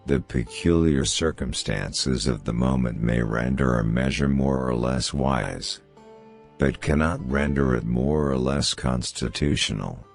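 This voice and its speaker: A man reads famous quotations as a scripted narration, in a measured, formal manner. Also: a bass voice, creaky. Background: background music.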